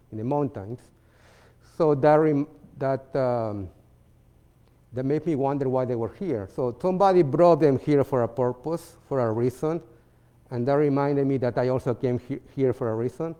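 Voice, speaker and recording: A man from Peru with a Peruvian Spanish accent, presenting his artwork in a seminar talk, halting with fillers and restarts. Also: mid-range voice, dark tone. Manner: halting, many fillers and restarts, non-native phrasing. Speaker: visiting artist giving a seminar talk.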